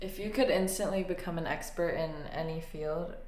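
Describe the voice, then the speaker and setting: Young woman, giggly teasing chat, lowish voice, echoey room. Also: moderate pace, darkish tone. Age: young adult. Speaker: podcast co-host. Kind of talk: casual chat. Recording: echoey room with faint hiss.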